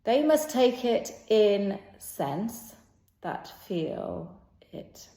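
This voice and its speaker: A woman's voice: mid-range voice, sing-song, extremely slow, creaky, dark tone, full character voice. A woman playing a teasing, sceptical male servant in an old stage play, with a needling, declaimed delivery. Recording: roomy, echoing sound.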